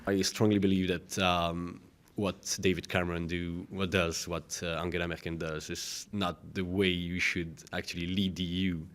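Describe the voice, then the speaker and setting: Male student, twenties, very low flat voice, interview opinion. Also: normal speed, hardly any pauses. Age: 20s.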